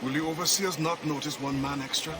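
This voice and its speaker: An actor playing a proud, guarded assassin who was once enslaved, speaking in a grave, formal, wary way in a video game cutscene. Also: male voice, fairly high pitch, fairly even intonation, a little fast, heavy background noise, natural voice. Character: proud, guarded freed-slave assassin, adult man.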